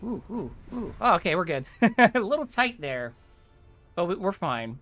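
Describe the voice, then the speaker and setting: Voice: medium pitch, sing-song, a little slow, fairly bright tone, faint music behind. A chatty, informal streamer thinking aloud and explaining each step while playing through an online game. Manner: chatty, informal, mild swearing. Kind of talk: casual game walkthrough commentary.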